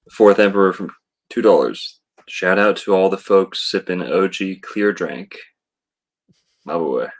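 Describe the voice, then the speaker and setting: Slow, very deep-voiced man. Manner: slow, rambling, hesitant with fillers. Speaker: podcast host reading super chats. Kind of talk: casual podcast chat.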